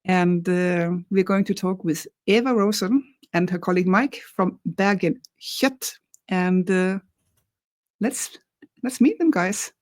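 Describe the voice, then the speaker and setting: A woman's voice: fairly low pitch, slow, darkish tone, no echo. Lady hosting a webinar on a video call, dry close sound, chatty with lots of uhs. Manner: friendly, loose and chatty with fillers. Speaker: webinar host.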